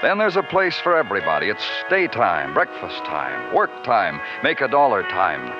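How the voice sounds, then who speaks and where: An actor playing a terse, brooding big-city police detective in a 1950s radio crime drama, clipped and deadpan. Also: male voice, on the low side, moderate pace. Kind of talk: 1950s radio crime drama, hard-boiled narration and dialogue. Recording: dull old radio recording with music.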